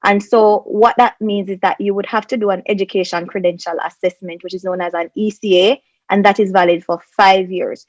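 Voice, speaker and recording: A woman's voice: medium pitch, steady tone, fairly quick, dry recording. A middle-aged woman explains things methodically, step by step, as a panel guest giving advice on a video call. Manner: methodical, step-by-step, emphatic enumerating. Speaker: immigration consultant, panel guest. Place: small indoor room on a video call. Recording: slightly dull video-call audio.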